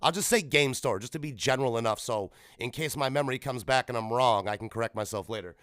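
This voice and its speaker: Guy in his 30s doing a solo gaming podcast, middle pitch, dry close sound, keeps rambling and correcting himself. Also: fast, no echo. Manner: rambling, self-correcting, casual and animated. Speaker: podcast host. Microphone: podcast microphone.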